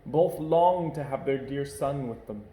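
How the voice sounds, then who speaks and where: A man's voice: mid-range voice, unhurried, roomy sound. Man reading a children's story aloud. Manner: expressive, varied reading. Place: home.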